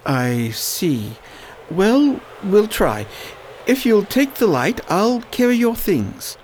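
Weary, grave man, acted by a woman, animated pitch. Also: slow pace, slight background noise, low-quality recording. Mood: curt, skeptical. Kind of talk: radio play acting.

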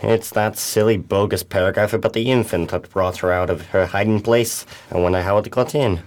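An actor playing a deferential police inspector, matter-of-fact and colloquial, in a period detective radio drama. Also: male voice, lowish voice, fairly even intonation, a little fast, darkish tone, natural voice. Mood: puzzled, matter-of-fact. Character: plain-spoken, deferential male police inspector.

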